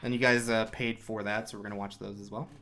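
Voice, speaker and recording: A man's voice: fairly low pitch, level tone, quick pace, music in the background. A man giving casual, rambling, self-mocking commentary while he plays through a speedrun live.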